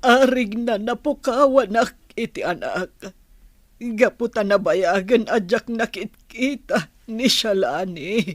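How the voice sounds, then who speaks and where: A woman's voice: a little high, slow, no echo, studio quality. An actress playing a sorrowful, plaintive mother in an Ilocano radio drama. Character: sorrowful mother grieving a lost child.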